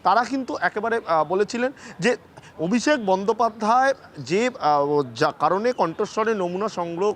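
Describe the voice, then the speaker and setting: A man with a West Bengal Bengali accent giving live news analysis as a correspondent, halting and repeating himself for emphasis. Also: sits high, expressive. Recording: clear background noise and ambience.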